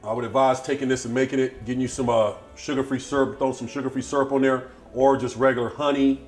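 A man's voice: mid-range voice, level tone, fast talker, darkish tone, soft background music. A middle-aged man hosting a casual cooking demonstration in a home kitchen, chatting easily while he works. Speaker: cooking show host.

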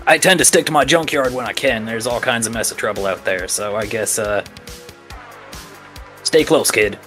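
Man playing a bright-voiced folksy junkyard scrapper. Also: mid-range voice, normal speed, soft background music, natural voice. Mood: wary, folksy. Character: gruff, eager junkyard scrapper, fond of his drones.

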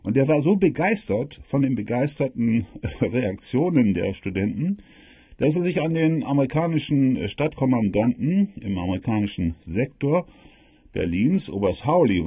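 Male history narrator: husky, mellow, emphatic storyteller with dramatic pauses, recorded dry. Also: lowish voice, no echo. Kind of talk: spoken history lecture for an audio production.

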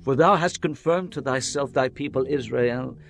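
A man's voice: medium pitch, normal speed, creaky, faint music behind, close to an everyday voice. An actor playing a humble, reverent king in a dramatized Bible reading, solemn and declamatory. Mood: humble, awed. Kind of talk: dramatized Bible audio acting.

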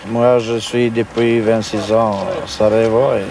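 Gravelly man, fifties, halting speech, distant noisy field recording. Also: fairly low pitch, little pitch movement. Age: 50s. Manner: halting, searching for words. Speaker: army commander interviewed. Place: outdoors in a town.

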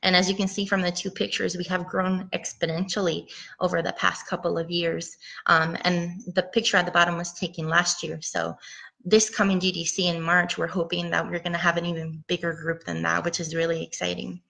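Woman, 30s, from Puerto Rico, talkative presenter on a headset call, flat low voice with a bright, gravelly edge. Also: brisk, poor audio. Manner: chatty, rambling with ums. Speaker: guest speaker, game designer. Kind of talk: casual presentation talk. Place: home room with bookshelf. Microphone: headset mic on video call.

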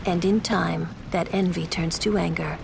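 A woman playing a composed, stern, world-weary young mage in a video game, speaking in a measured, solemn, slightly condescending way. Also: on the low side, steady tone, vocal fry, background noise. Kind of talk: video game cutscene acting.

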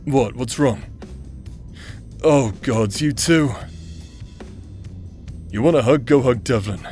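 A man's voice: medium pitch, takes it slowly, a little mellow, soft background music, lightly acted. A man playing a cocky, teasing adventurer in an audio roleplay, with a drawling, playful manner. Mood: exasperated. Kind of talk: dramatic audio roleplay acting.

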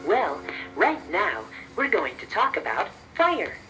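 A gentle talking teddy bear on a children's cassette. He explains patiently and reassuringly, slowly and with very lively, swooping intonation. Loud music runs behind the voice. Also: high-pitched, distant mic, heavily acted, poor audio. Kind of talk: children's educational audio story acting.

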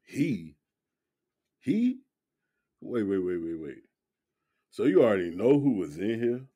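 Deep-voiced, swooping laid-back young dude. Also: male voice, very animated, mellow, husky, dry recording, studio quality, full character voice. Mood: incredulous. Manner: slangy, drawn-out exclamations and repeats. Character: laid-back young man on a date, incredulous, protective. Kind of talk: audio drama acting.

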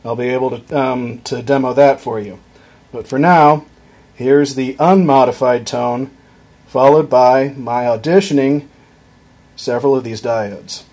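A young man explaining a guitar circuit on his workbench, casual and halting, with frequent ums and restarts, recorded on a camera mic. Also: medium pitch, steady tone, darkish tone. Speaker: electronics hobbyist demonstrating a circuit. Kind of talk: casual off-the-cuff tutorial. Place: workbench at home, indoors.

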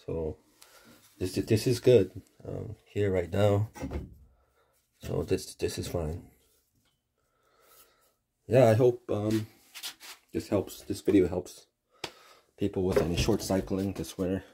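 A man casually explaining a home heater repair, halting with fillers and restarts, recorded on a phone in a basement utility room. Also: deep voice, gravelly, dark tone, husky. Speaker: homeowner showing a furnace repair. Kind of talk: casual how-to video. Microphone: phone camera held close.

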